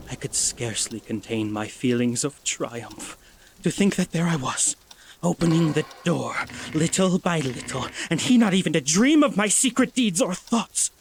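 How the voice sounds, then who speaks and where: A man playing a nervous, mad murderer who insists he is sane, narrating a gothic horror audio drama with intense, halting theatrical delivery. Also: mid-range voice, slight background noise. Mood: sly, triumphant.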